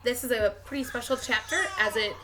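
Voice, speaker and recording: A woman who teaches, reading a children's novel aloud with expressive, clearly phrased narration. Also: a little high, a little fast, slight background noise.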